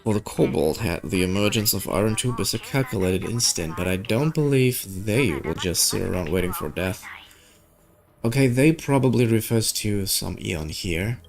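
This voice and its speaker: A man's voice: on the low side, expressive, normal speed, gravelly. A man doing a game playthrough, reading story dialogue aloud in an informal way with casual asides and stumbling over words. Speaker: gaming streamer.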